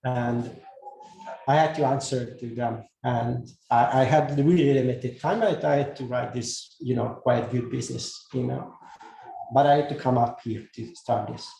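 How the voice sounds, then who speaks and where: A man whose first language is Hungarian gives a talk to a live audience, speaking slowly with many fillers and restarts. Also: mid-range voice, gravelly, mellow. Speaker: speaker giving a talk on AI tools. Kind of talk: presentation to an audience. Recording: echoey room, faint noise.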